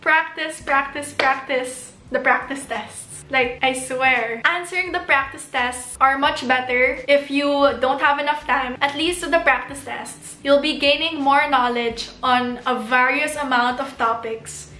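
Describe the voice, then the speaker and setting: A woman's voice: high-pitched, steady tone, measured pace, hardly any pauses, bright, forceful tone. A young Filipino woman in her twenties giving chatty, upbeat study tips in a vlog from her bedroom, her voice getting worn. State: losing her voice. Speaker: vlogger giving test tips. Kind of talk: casual advice vlog. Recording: echoey room.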